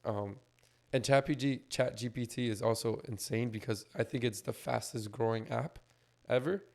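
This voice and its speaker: A man co-hosting a casual podcast, slightly hoarse as his voice recovers, explaining things in a laid-back way with lots of fillers. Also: mid-range voice, normal speed, darkish tone. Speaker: podcast co-host.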